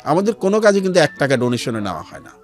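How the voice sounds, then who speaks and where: Male radio guest, Bangladeshi accent, lightly high-pitched and very expressive, earnest explainer who drops in English. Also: rapid-fire, faint music behind. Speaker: interview guest, founder of a charity resale platform. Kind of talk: conversational storytelling in a radio interview. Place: radio studio.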